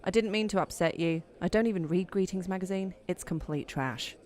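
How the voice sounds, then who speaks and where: A woman's voice: lowish voice, brisk, darkish tone, natural voice. A woman playing a guarded, sarcastic but kind young woman in an audio drama, speaking in a casual, wry way. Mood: friendly, apologetic.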